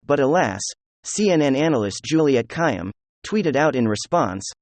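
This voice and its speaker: Guy doing political commentary, sarcastic and mocking, mid pitch, dry close sound. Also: very animated, normal speed, a little mellow, dry recording. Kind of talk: opinionated news commentary.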